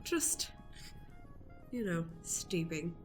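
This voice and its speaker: A woman's voice: on the low side, expressive, extremely slow, faint music behind. A woman playing a smug, flirtatious male suitor in an improvised radio play, with affectedly refined, suggestive phrasing.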